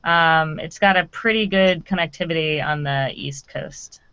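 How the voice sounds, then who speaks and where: A woman's voice: deep voice, measured pace, fairly bright tone, smooth, no echo, muffled recording. A woman in her twenties from North Carolina, a graduate student on a live Q&A panel, talking informally and upbeat with "um" fillers.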